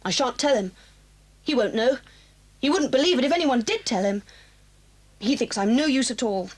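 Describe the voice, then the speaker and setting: A woman's voice: high voice, lively intonation, normal speed. A woman playing a lonely, proud, misunderstood boarding-school girl with an English accent in a children's audio drama. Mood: resigned.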